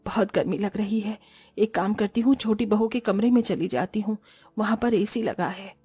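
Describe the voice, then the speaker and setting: A woman voicing a stingy, middle-aged Indian-family mother-in-law who speaks low, soft and weary. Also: normal speed, husky, dry recording, faint music behind.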